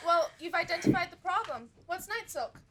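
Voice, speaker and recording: A girl acting a very high, bright speechifying actress. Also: animated, normal speed, slight background noise, heavily acted. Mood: wry. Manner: lecturing, theatrical speechifying. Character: wordy idealistic woman actress at an audition. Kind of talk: school stage play. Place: school stage.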